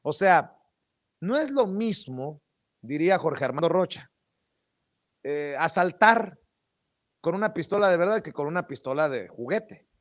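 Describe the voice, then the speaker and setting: A man's voice: a little high, sing-song, measured pace, long pauses, dry recording. A man with a Mexican accent hosting a political talk show, giving colloquial, emphatic news commentary straight to his listeners.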